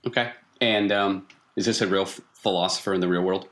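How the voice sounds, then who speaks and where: A man's voice: sits low, steady tone, a little fast, fairly bright tone. A man acting as game master, putting questions to players in a tabletop role-playing game.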